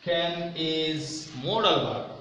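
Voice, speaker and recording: A man teaches in a repetitive, didactic manner, laying out rules one step at a time. His delivery is unhurried and slow. The sound is heavily echoed and picked up from a distance, as in a bare room. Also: fairly high pitch, animated, pushed and bright. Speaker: English grammar teacher. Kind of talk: instructional lesson to students. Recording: very echoey room, distant mic, muffled, faint noise.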